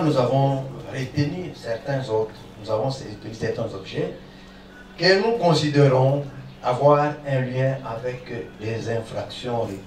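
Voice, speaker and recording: A man speaking as a prosecutor in court, formally and deliberately itemizing evidence with emphasis. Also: medium pitch, darkish tone. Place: large indoor courtroom. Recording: echoey courtroom, distant mic, faint noise.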